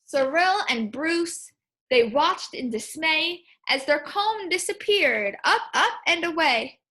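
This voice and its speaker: Slow, high-voiced woman reading to children. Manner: expressive, questioning, explains simply to children. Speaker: primary school teacher. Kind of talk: children's picture book read-aloud with teaching asides.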